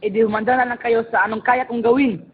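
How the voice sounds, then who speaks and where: A man's voice: very high voice, steady tone, fast talker, narrow, tinny audio. A man playing an ardent, courtly young warrior in an amateur radio drama. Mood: defiant. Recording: music under the voice.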